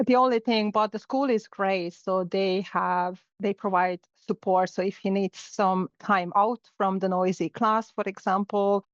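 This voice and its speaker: A middle-aged woman from Hungary, a native Hungarian speaker, reflects in a relaxed interview. Her pitch sits in the middle range, and she often pauses with fillers and restarts her sentences. The sound is dry and a little muffled, like a lo-fi recording. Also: dry recording. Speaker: guest. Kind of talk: casual interview conversation. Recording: dull, lo-fi sound.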